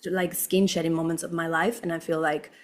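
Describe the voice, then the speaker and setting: A woman's voice: deep voice, level tone, brisk. A young woman from Venezuela, a podcast guest talking effusively, laughing often and filling her speech with "like". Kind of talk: casual conversation.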